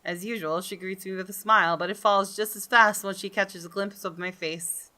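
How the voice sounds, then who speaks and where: A woman's voice: medium pitch, fairly even intonation, normal speed, pushed and bright. A woman gaming host doing a let's play, reading story narration aloud and commenting to viewers. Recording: faint music behind. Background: faint game music.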